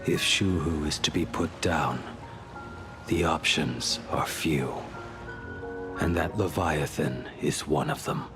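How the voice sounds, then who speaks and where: Very deep, slow, brooding swordsman. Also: music in the background. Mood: grave, resigned. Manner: terse, grave. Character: weary, stoic, brooding swordsman. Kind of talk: video game voice acting.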